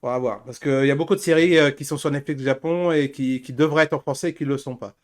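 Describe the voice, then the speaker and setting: A man's voice: medium pitch, fast talker. Male host, 40s. Mid-range voice, casual and teasing, for informal panel talk.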